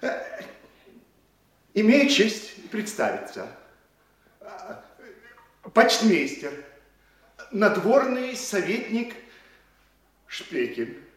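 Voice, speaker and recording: A man's voice: high voice, sing-song, very slow, pauses often, reverberant, exaggerated voice. An actor playing a timid, fawning provincial postmaster who stammers and bows to his superior. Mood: obsequious. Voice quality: hesitant, stammering, obsequious. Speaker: actor in a radio play. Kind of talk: stage radio play acting.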